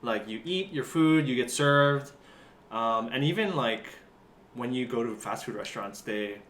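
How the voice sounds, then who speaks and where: A man's voice: mid-range voice, expressive, a little slow, a bit bright. Laid-back male host in an echoey room. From Hawaii. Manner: laid-back, laughs often. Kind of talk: casual chat. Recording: roomy room with faint hiss.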